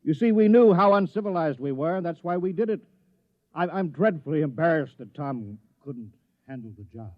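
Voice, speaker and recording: Old radio play male actor: meek, apologetic mayor, slightly high voice, flustered stammer, courteous, dull vintage sound. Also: animated, fairly quick, no room sound, lightly acted. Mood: embarrassed, apologetic. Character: fussy, apologetic, easily embarrassed village mayor. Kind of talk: old radio drama acting.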